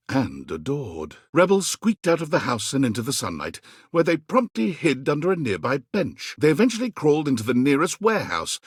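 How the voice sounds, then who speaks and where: A man's voice: mid-range voice, husky, no room sound, clean studio sound. A man playing a dramatic, wry, mischievous narrator in a video game, with a theatrical and teasing delivery. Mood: teasing, suggestive. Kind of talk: scripted storybook-like narration.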